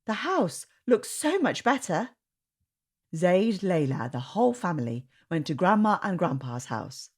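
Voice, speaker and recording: A woman reading a storybook aloud to children in an expressive, sing-song way. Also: deep voice. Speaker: storytime reader. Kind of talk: children's read-aloud narration.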